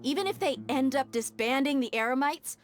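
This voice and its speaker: A woman's voice: sits high, lively intonation, fairly quick, soft background music. A woman playing a headstrong female desert mercenary in a video game, blunt and assertive. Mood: urgent, reasoning.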